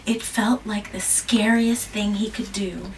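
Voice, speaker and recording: Lady doing a slow storybook read-aloud w/ whispery bits, kinda far from the mic, hissy room. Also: mid-range voice, fairly even intonation. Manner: gentle, slow, dramatic whispers and gasps. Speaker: children's book reader. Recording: distant mic with background hiss.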